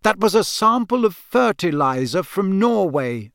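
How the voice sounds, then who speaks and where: A man's voice: high-pitched, big pitch swings, measured pace, gravelly, fairly bright tone, no echo, pitched above the performer's own voice, heavily acted. Male reader playing a pompous young prig, stiff and preachy, dry close sound. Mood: prim. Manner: sanctimonious. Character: pompous, prim young man.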